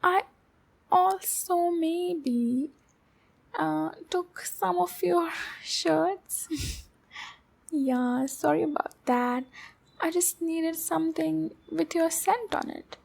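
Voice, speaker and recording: A woman playing a shy, lonely, obsessive demon girl in love, speaking slowly and intimately in an ASMR roleplay with a breathy, halting delivery. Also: extremely high pitch, full character voice. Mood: lovesick, sheepish. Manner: slow, breathy, halting, with soft giggles.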